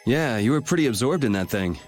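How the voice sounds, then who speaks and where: A man's voice: medium pitch, expressive, brisk, a little mellow, soft background music, close to an everyday voice. A man playing a cool-headed, plain-spoken young party leader in an English game dub, casual and matter-of-fact. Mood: calm, resolute.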